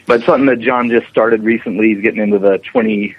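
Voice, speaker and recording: A man's voice: lowish voice, fairly even intonation, fast talker, highs cut off, slight background noise. A man chatting casually as an interview guest. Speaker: interview guest, special effects animator. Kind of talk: casual chat.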